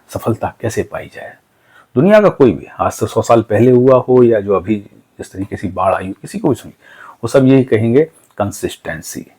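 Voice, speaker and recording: A man with a fairly low, slightly husky voice gives an informal lesson. He speaks earnestly, like a mentor, building his advice through examples and rhetorical questions. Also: a little mellow. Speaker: cartooning teacher and channel host. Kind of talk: informal motivational lesson talk.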